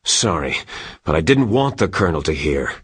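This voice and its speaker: An actor playing a calm, secretive older military adviser in a video game, husky and measured as he confides information. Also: low voice, no echo, exaggerated voice. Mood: guarded. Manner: measured, conspiratorial.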